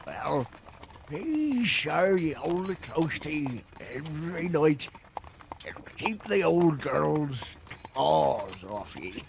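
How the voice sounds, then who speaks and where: An actor playing a folksy, pious old carriage driver who talks in rustic dialect with a teasing, foreboding manner in an audio drama. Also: male voice, fairly high pitch, very animated, slow, gravelly, background noise, heavily acted. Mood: ominous.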